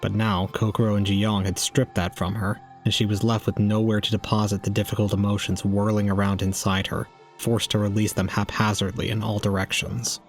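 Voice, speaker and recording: A man playing a brooding, detached young man narrating his inner thoughts gravely in an audio drama. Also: lowish voice, little pitch movement, a little fast, soft background music, natural voice. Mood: cold brooding.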